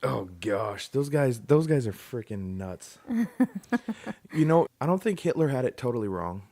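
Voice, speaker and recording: A man hosting a comic podcast, delivering crude jokes in a mock-formal, deadpan way. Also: mid-range voice, measured pace, no echo. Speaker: podcast host. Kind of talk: scripted comic podcast intro and banter.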